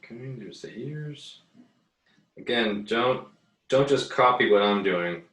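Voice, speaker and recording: A man giving a casual, unscripted tutorial as an art teacher, haltingly thinking aloud as he works. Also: mid-range voice, lively intonation, fairly bright tone. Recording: echoey, roomy sound.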